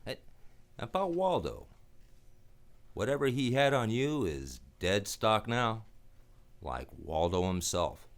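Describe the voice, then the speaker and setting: A man's voice: on the low side, big pitch swings, takes it slowly, long pauses, exaggerated voice. A man playing a wry, tough private detective in a 1940s-style hardboiled radio drama. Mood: sardonic, probing. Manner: sardonic, tough, wisecracking. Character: wry, hard-boiled male private detective.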